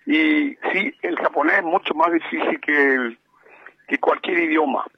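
A man's voice: on the high side, unhurried, creaky, slight background noise. A man with a Paraguayan accent, calling in to a radio show from abroad and talking over the phone in a rambling, explanatory way with many fillers. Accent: Paraguayan Spanish. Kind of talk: casual radio chat. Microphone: phone line. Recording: dull phone line.

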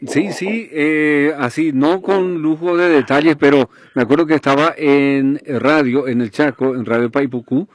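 A man's voice: on the high side, fairly even intonation, unhurried, hardly any pauses, no echo. A man hosting a radio show who talks with a caller in a conversational way. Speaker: radio host.

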